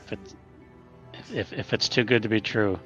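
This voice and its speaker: A man's voice: lowish voice, fairly even intonation, normal speed, a bit bright. A man talking casually in a tabletop roleplaying game, tentative and repeating words as he thinks. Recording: slightly dull audio with music behind.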